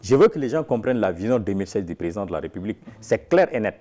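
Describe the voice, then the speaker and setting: A man's voice: lowish voice, sing-song, racing, warm tone. Man speaking with emphatic repetition. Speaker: farmers' organization leader. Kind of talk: interview answers.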